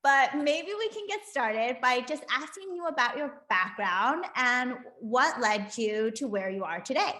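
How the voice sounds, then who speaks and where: A woman's voice: sits high, expressive, a little slow, hardly any pauses, sharp tone. A woman hosting a live online interview in a conversational way. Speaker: host and interviewer. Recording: roomy, echoing room sound.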